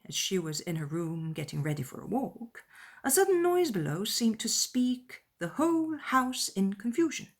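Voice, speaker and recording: A woman narrates literary fiction in a middle-pitched voice. Her reading is scripted and expressive, in the style of a dramatized audiobook of a classic novel.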